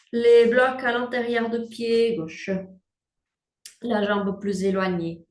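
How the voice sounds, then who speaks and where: Young woman leading yoga, talks real slow and calm, echoey room, mic far away. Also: mid-range voice. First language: Portuguese. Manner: slow, calm cueing with breath prompts. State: pregnant. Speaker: yoga instructor. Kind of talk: guided prenatal yoga class. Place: room at home, instructing from a mat. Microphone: laptop mic at a distance.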